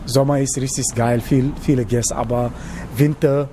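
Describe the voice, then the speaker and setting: A young man from Senegal who learned German as an adult, answering interview questions about his cooking apprenticeship, often pausing to search for words. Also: medium pitch, fairly even intonation, warm tone, a little hiss or hum. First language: not German. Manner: halting, searching for words with many hesitations. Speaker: interviewee, trainee cook. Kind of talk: casual interview.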